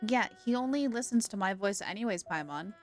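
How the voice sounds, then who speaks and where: A woman's voice: mid-range voice, expressive, brisk. A woman streamer giving casual, playful and reactive live commentary on a video game. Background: game music.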